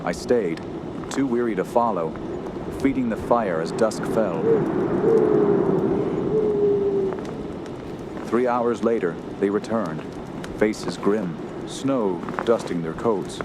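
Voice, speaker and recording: An actor playing an earnest, shaken young woodsman who tells his story in a radio horror drama, halting and solemn. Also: on the low side, natural voice. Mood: somber. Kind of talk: radio horror narration and acting. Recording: loud steady outdoor background noise.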